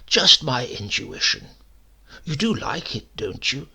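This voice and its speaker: A man plays a sly, smooth-talking old uncle from a storybook, a secret poisoner. The voice is gravelly and husky, with politely drawn-out phrases that swing up and down. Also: medium pitch, very animated, sharp tone, full character voice. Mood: agreeable, sly. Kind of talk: acted dialogue in a storybook reading.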